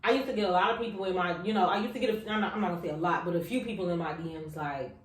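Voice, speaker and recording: Casting note: woman podcast host, animated and loud when excited, laughs a lot, roomy echo. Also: lowish voice, very fast. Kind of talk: casual podcast chat.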